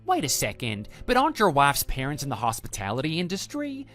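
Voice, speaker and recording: A man's voice: on the high side, wildly swooping pitch, a little fast, fairly bright tone. A man voicing a cocky, immature love rival with a brash, pushy manner in a scripted online radio drama. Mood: agitated, baffled. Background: background music.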